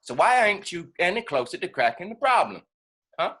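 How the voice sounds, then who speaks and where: Combative military man, played by a male actor, scornful and folksy, hard bright tone, scripted stage dialogue. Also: sits high, big pitch swings, normal speed, husky, exaggerated voice. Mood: scornful taunting.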